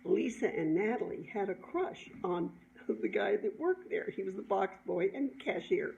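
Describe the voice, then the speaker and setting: A middle-aged woman telling an informal personal story in an animated, chuckling way, as an author sharing her own work. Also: mid-range voice, moderate pace. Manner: animated, chuckling, acts out dialogue. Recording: dull lo-fi sound, clear background noise.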